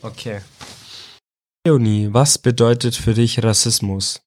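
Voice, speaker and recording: A man's voice: medium pitch, fairly even intonation, a little slow, darkish tone. A man from Iraq interviewing young people in a casual way, often restating his questions in other words. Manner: informal, rephrases his questions several ways.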